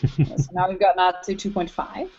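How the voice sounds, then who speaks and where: A woman's voice: lowish voice, moderate pace, darkish tone. A woman co-hosting a podcast in casual, playful and teasing banter. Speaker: podcast co-host. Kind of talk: casual banter about anime. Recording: dull lo-fi audio.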